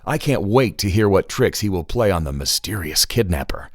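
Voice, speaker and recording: A male narrator reading scripted children's storytelling. His voice is fairly low and full of movement, recorded close and dry with no room sound. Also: lively intonation, normal speed, no echo.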